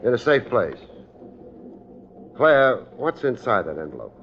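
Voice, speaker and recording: An American actor playing a wry, unflappable private detective in a 1950s radio drama, with deadpan, wisecracking delivery. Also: male voice, mid-range voice, measured pace, a little hiss or hum. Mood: cool, pressing. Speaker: lead actor and narrator. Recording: muffled 1950s radio recording with music.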